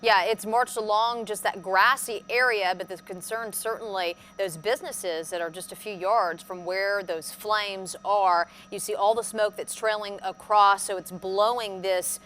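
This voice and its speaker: A female TV news anchor delivers live breaking-news commentary in a medium-pitched voice. There is noticeable ambient noise behind her as she talks. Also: fairly even intonation, measured pace, hardly any pauses, fairly bright tone.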